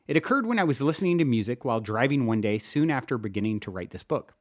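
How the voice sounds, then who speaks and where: A man reads his own self-help book aloud as a narrator, with an earnest, expressive delivery. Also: mid-range voice, quick pace, dry recording, clean studio sound. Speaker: author and show host reading own book. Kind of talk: audiobook narration, first-person self-help.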